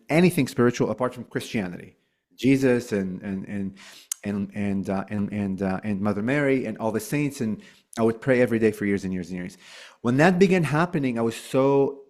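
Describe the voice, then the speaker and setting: A man in his forties, born in Lebanon, talking as a guest in a casual interview, loose and animated with frequent "like" and "um" fillers. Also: on the low side. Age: 40s.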